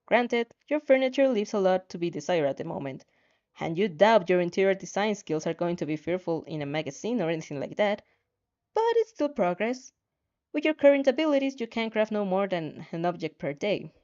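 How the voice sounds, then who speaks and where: A woman narrating a story in an expressive amateur storytelling style. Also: medium pitch, a little fast, lo-fi sound. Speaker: fan fiction reader.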